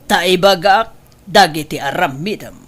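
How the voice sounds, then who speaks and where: Woman playing stern Filipino mom, lowish voice, very slow delivery, radio drama. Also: lightly acted. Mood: scolding. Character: stern, advising middle-aged mother. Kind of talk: Ilocano radio drama acting.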